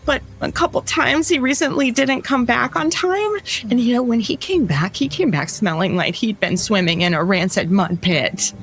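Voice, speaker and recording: A woman playing a sweet, distraught working mother in an audio drama, speaking in an earnest, halting, fretful way. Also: fairly high pitch, faint music behind, close to an everyday voice. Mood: worried, earnest. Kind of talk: immersive audio drama acting.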